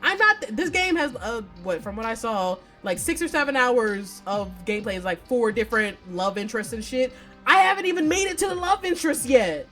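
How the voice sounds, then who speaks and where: A woman giving casual, slangy and profane live commentary as she plays a visual novel, exclaiming in an animated way. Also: fairly high pitch, brisk, soft background music. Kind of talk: casual game livestream commentary.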